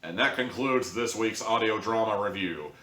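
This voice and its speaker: A man's voice: lowish voice, normal speed, bright, forceful tone. A man hosting an opinionated review, speaking in an exasperated, emphatic way. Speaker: host, audio drama reviewer. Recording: echoey room, distant from mic.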